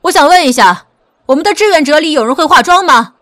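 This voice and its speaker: A woman's voice: high-pitched, lively intonation, quick pace, a bit bright, no room sound, studio quality, pitched above the performer's own voice. A woman voicing a flustered, urgent wedding organiser in an audiobook drama. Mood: anxious, urgent. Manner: urgent, pressing.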